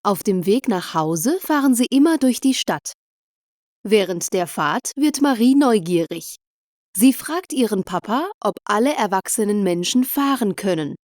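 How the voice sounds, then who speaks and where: A woman's voice: medium pitch, animated, unhurried, a little mellow, no echo. Woman reading a simple story, dry studio sound. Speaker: narrator of language-learning stories. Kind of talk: scripted narration of a simple story for German learners.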